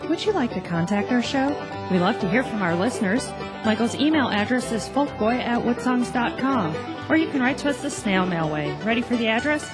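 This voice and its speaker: A woman's voice: on the high side, lively intonation, fairly quick. A woman doing scripted radio announcements and reading, as a regular presenter of the show. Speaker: announcer and listener-mail reader. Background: music.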